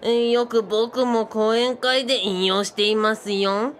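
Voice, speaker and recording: A woman's voice: fairly high pitch, steady tone, takes it slowly. A woman voicing an eager, bookish boyish male sidekick who speaks politely with quirky sentence endings in a comic radio drama. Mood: enthusiastic.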